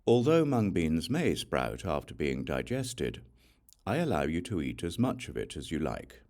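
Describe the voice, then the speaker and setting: A man voicing an authoritative male teacher in an audiobook scripture, stern, formal and deliberate as he lays down rules. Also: extremely low pitch, sing-song, darkish tone, full character voice. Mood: granting, instructive.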